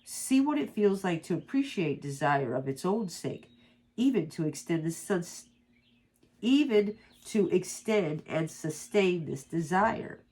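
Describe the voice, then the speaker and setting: A woman with a stuffed-up nose from allergies, reading slowly and softly from a guidebook as an oracle card reader, with small stumbles and asides. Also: on the low side, animated. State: congested from allergies.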